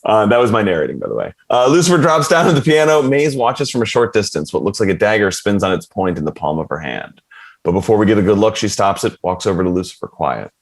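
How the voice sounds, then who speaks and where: A man's voice: fairly low pitch, sing-song, quick pace, vocal fry. A man narrating a screenplay at a table read, reading stage directions in an informal way.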